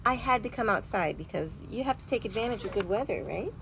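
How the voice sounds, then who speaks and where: A woman speaks to young children as a storytime host, with a lively, swooping delivery. She uses simple words and often turns to her listeners with questions. She is recorded outdoors, with faint noise around her. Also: fairly high pitch, quick pace, creaky. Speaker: children's librarian hosting storytime. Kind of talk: read-aloud and chat to young children. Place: outdoors at home.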